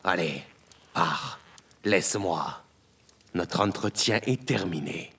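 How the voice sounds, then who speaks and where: A man's voice: deep voice, expressive, takes it slowly, a bit bright, husky, full character voice. A man playing a domineering, embittered head of a crime family in an audio drama, with a menacing, theatrical and formal delivery. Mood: weary, dismissive.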